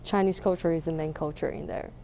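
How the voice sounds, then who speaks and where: A woman's voice: low-pitched, steady tone, fast talker, dark tone, slight background noise. A young woman from Taiwan with a Taiwanese accent, answering interview questions casually and searching for words as she goes. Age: young adult. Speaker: musician being interviewed.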